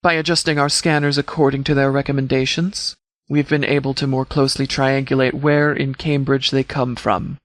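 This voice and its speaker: A man voicing a stern, commanding sheriff in a video game, giving a formal military briefing with measured, authoritative words. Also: medium pitch, level tone, no echo, natural voice. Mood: matter-of-fact, authoritative. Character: stern, commanding male sheriff briefing his forces before battle. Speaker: sheriff, leader.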